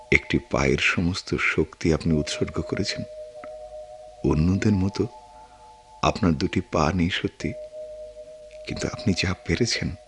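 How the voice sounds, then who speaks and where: A melancholy, guilt-laden male war veteran with a Bangladeshi accent, in radio drama style. His voice sits very low and moves slowly, brooding and halting between phrases. Music plays behind him. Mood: solemn recollection. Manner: brooding, halting, dramatic delivery with long pauses. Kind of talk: Bengali radio drama acting.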